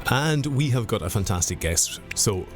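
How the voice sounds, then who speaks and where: A Scottish man hosts a podcast in a clear, upbeat presenter's voice, pitched a little low. A soft music bed plays quietly behind him. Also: lively intonation, normal speed. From Scotland. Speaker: podcast host. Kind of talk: scripted intro and interview hosting.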